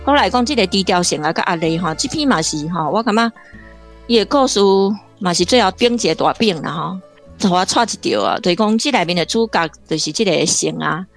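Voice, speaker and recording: Low-pitched Taiwanese woman, explaining a story. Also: expressive, a little fast, fairly bright tone, faint music behind. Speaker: literature professor and guest commentator. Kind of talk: conversational literary commentary on a story.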